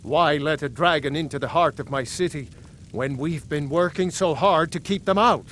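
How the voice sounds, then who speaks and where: An actor playing a proud, wary lord of a city in a fantasy video game, speaking in a measured, earnest, commanding manner. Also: male voice, on the high side, a bit bright, slight background noise, close to an everyday voice. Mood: troubled. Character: proud, wary male Nord jarl guarding his city. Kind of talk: video game character dialogue.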